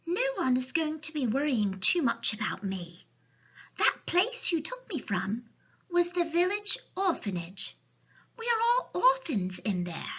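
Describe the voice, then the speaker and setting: Woman doing a little girl, orphan, high voice, breathy, stoical, storybook reading, slightly muffled recording. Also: huge pitch swings, a little slow, pushed and bright, full character voice. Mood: matter-of-fact, gentle. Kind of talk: children's storybook character voice.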